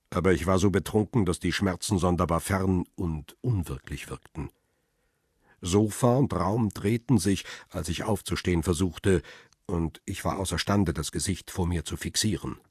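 An actor narrating in the first person as a battered photographer in a radio drama, measured and husky. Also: male voice, deep voice, no echo, studio quality. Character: battered male photographer recalling events, wry and weary.

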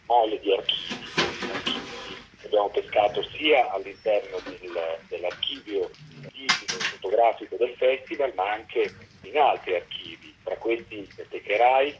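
A man's voice: lowish voice, a little slow, background noise. A man explaining his documentary work at length in a radio interview, in long, articulate sentences. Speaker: documentary co-director being interviewed. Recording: dull, lo-fi phone-like line.

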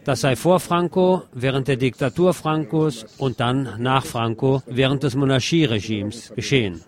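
A man narrating a street protest report as a radio reporter. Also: medium pitch. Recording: crowd noise behind the voice. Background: protest crowd and street sounds.

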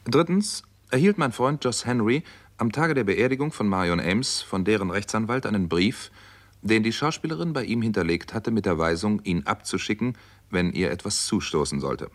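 An actor playing a composed, resolute doctor who narrates a radio crime story in a measured, matter-of-fact way. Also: male voice, lowish voice, expressive. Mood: measured narration. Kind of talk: scripted first-person crime drama narration.

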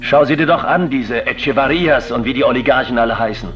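A man playing a cynical, ambitious drug lord delivering a deliberate radio drama monologue. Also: mid-range voice, fairly bright tone, lo-fi sound, faint music behind, lightly acted. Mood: scornful, reflective.

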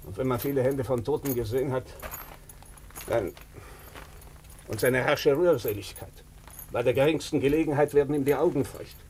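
An actor playing a blunt, shrewd doctor with a deliberate, sardonic, probing manner in a German radio drama. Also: male voice, medium pitch, darkish tone, a little hiss or hum, lightly acted. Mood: grave, worried. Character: blunt, shrewd, bespectacled male country doctor.

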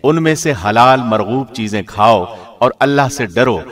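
A man's voice: medium pitch, expressive, fairly bright tone. A man reading a scripture translation aloud in a formal, scripted radio narrator's style. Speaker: translation reader, radio host.

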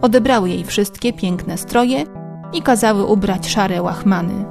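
Female storyteller, mid-range pitch, scripted children's tale narration over prominent guitar backing. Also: measured pace, gravelly. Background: plucked guitar music.

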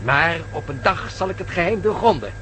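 An actor in a radio play playing an older, excitable male professor who stammers and fusses. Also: a little high, a little fast, slight background noise. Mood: earnest.

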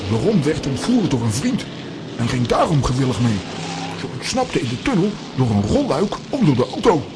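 A man's voice: mid-range voice, sing-song, moderate pace, over music, natural voice. A man playing an assertive, know-it-all adventurer in a children's audio drama, bossy and emphatic.

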